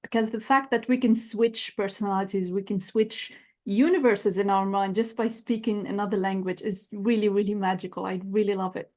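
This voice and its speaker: A woman's voice: medium pitch, steady tone, normal speed, a little mellow. A woman whose first language is Arabic, speaking as a coach in a podcast interview, reflective and searching for words. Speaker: guest coach.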